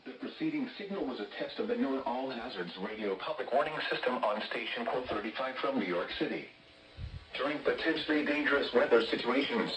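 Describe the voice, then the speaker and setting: A man's synthetic-sounding voice reading a scripted public weather bulletin in a formal, measured announcer delivery over a radio. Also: medium pitch, far from the mic, muffled recording. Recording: noisy, echoey weather radio captured from off.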